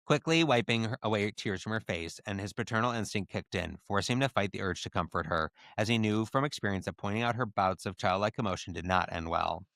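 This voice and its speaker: A man's voice: on the low side, quick pace, hardly any pauses, fairly bright tone, no room sound, clean studio sound. A man co-hosting a podcast, chatting casually and reading a comic story aloud. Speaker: podcast co-host.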